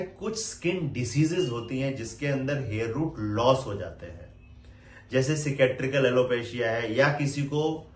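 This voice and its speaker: A middle-aged man, calmly explaining medical matters like a teacher. The voice sits back from the microphone in a roomy, echoing space. His speech mixes in English medical terms. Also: mid-range voice, distant mic. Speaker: doctor explaining hair loss. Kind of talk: educational talk. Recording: roomy sound, voice set back from the mic.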